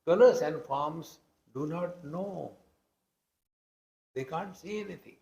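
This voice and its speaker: A man's voice: on the high side, slow, creaky, darkish tone, dull audio. Indian-accented male swami in an echoey room. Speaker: spiritual teacher, swami. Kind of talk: spiritual discourse expounding scripture. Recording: roomy, echoing hall sound.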